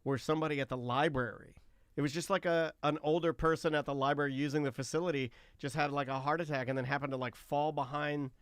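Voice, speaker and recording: A man's voice: fairly high pitch, steady tone, fast talker, a bit bright, clear, resonant tone, no echo, clean studio sound. A man co-hosting a talk show, chatting casually and telling stories in short, repeated phrases. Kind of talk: casual radio banter.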